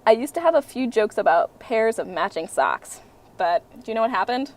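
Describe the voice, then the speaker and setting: Woman as Tuna, a very animated sock puppet. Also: on the high side, moderate pace, close to an everyday voice. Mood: playful. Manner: playful setup-and-punchline joke delivery. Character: Tuna, playful joke-telling sock puppet, friendly and silly. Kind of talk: children's puppet show banter.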